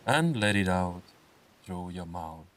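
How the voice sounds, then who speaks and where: A man narrating a scripted guided meditation in a soothing, unhurried way, with phrases set apart by pauses. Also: low-pitched, animated, fairly bright tone, recorded from a distance. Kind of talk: slow scripted guided meditation with comic swearing.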